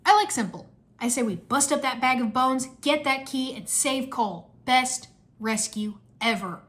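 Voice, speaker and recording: Woman as a brash, high-voiced male ninja. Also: expressive, moderate pace, fairly bright tone. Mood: cocky.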